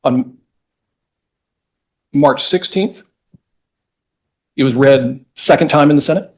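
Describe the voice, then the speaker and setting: A man's voice: on the high side, slow, a little mellow. Man arguing as a lawyer in court. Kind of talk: oral argument walking a judge through legislative history.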